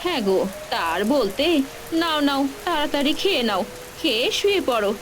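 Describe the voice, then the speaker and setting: A woman's voice: high-pitched, big pitch swings, moderate pace. A woman playing a caring, fretful poor village wife and mother with a coaxing, homely manner in a Bengali cartoon fairy tale. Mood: fussing. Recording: faint rain noise behind.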